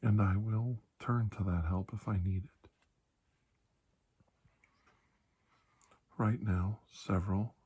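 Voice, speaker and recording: A man playing a calm, reassuring doctor in an ASMR roleplay, speaking softly and breathily, often close to a whisper, with a measured, halting delivery. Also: sits low, exaggerated voice. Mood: soothing, reassuring. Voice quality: soft, breathy, husky, often near whisper. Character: calm, reassuring male doctor treating a patient. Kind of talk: ASMR roleplay, hushed storytelling.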